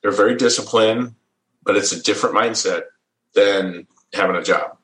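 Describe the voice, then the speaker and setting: A man's voice: on the low side, normal speed, a bit bright, echoey room, rough recording. A middle-aged man from the American Midwest telling his story in a casual interview, easygoing and chuckling often, restarting his phrases as he goes. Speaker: guest.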